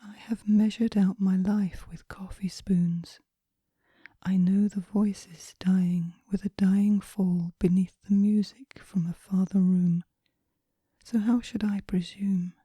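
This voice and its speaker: A woman reads poetry for relaxation in a soft, breathy whisper, with a gentle, measured, hushed delivery in ASMR style. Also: medium pitch, fairly even intonation, no room sound. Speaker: poetry reader.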